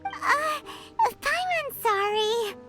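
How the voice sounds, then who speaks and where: A woman voicing a small, cheeky, excitable flying fairy companion in a video game, with a squeaky childish voice. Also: very slow, heavily acted. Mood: apologetic. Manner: refers to herself by name in third person. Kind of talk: video game character voice acting. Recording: loud game music behind voice.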